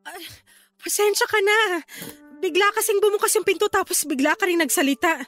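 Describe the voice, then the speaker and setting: Radio drama role: evasive young female grocery manager, defensive, expressive, high-pitched. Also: a little fast, soft background music. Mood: flustered, placating. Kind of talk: Tagalog radio drama acting.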